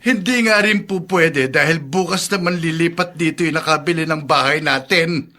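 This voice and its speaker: A man's voice: on the high side, normal speed, bright, forceful tone. A man playing an exasperated, blaming husband in a radio drama. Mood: complaining.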